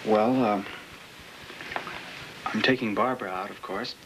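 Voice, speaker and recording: A man's voice: lowish voice, noisy background. A young man playing a reserved, evasive teenage son in a 1950s film, speaking hesitantly. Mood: awkward, evasive. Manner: hesitant, halting. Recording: old film soundtrack with loud music.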